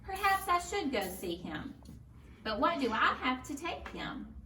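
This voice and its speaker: A woman's voice: high-pitched, very animated, measured pace, bright, forceful tone, voice pushed up, heavily acted. A woman voicing a crotchety old peasant woman from a children's storybook, grumbling and muttering dismissively. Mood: muttering, wondering. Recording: roomy, distant mic, faint noise.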